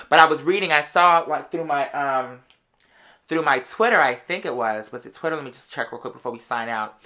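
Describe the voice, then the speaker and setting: Bright, animated American vlogger chatting casually. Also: medium pitch. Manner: animated, slangy storytelling. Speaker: online show host. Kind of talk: casual chatty monologue to followers.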